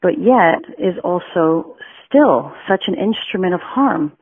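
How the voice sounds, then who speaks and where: A woman's voice: on the low side, lively intonation, slow, vocal fry, breathy edge, narrow, tinny audio. A woman journalist putting a prepared question to a podcast. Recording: thin radio-like phone sound.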